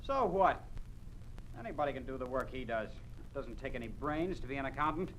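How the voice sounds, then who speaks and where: An actor playing an officious, bullying party loyalist, pompous and barking, with an American accent in a 1950s radio drama. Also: male voice, mid-range voice, expressive, fairly quick, a bit bright, natural voice. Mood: contemptuous. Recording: noisy old radio recording.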